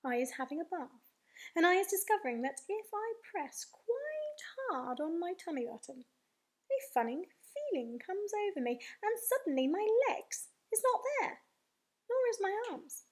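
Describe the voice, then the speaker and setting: A woman voicing an excitable, boastful young boy telling his dreams in wide-eyed, ungrammatical speech for a storybook. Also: very high voice, normal speed. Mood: wondering, gleeful.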